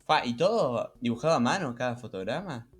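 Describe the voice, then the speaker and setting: A man with a Rioplatense Argentine accent talks casually as a podcast co-host. His voice sits at an average pitch and his delivery is a little halting, with repeated words. There is faint music behind him. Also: expressive, slightly rough.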